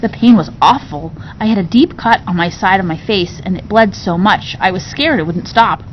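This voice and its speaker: A woman reading a classic novel aloud in an expressive storybook narration style. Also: normal speed. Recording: dull recording with low rumbling noise.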